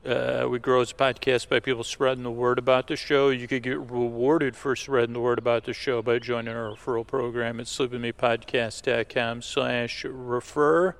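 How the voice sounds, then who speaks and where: Man, average pitch, lots of vocal fry, low-key rambling podcast host, casual delivery. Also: little pitch movement, normal speed, few pauses. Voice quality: creaky. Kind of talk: casual thank-you and sponsor read.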